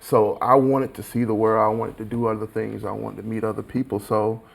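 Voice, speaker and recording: A man's voice: lowish voice, level tone, brisk, vocal fry. A man with a Southern American accent chats casually as an interview guest, in an informal, slangy way full of "like" and "you know.". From small town in Alabama, USA. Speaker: guest, recording engineer and mixer.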